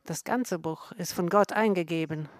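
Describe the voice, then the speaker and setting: A woman with a fairly low voice explains scripture in an earnest, measured way. She speaks slowly and stops often to correct herself. The sound is close and dry, with no room around it. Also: no echo. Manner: slow, careful, with frequent self-corrections.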